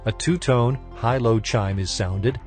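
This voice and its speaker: Man, mid-pitched voice, scripted technical narration, formal and measured, instructor style, music in the background. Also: a little mellow.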